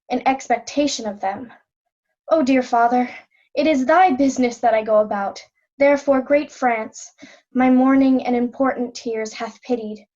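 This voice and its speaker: A woman playing a devoted, grieving young queen in an amateur Shakespeare reading, speaking earnest, measured verse. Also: high voice, darkish tone, lo-fi sound. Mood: tender, resolute. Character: grieving, devoted young queen Cordelia seeking her mad father. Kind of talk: amateur Shakespeare reader's theatre.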